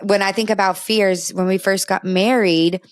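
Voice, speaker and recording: A woman's voice: mid-range voice, steady tone, moderate pace, dry recording, clean studio sound. A middle-aged woman from Brazil, a native Portuguese speaker, co-hosting a family talk show in an earnest, emphatic, conversational way. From Brazil, moved to the US at eleven.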